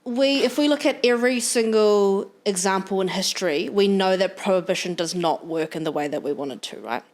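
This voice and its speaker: A woman's voice: mid-range voice, a little fast, darkish tone. A young adult woman with a New Zealand accent, a podcast guest talking frankly in casual chat, with the odd swear word.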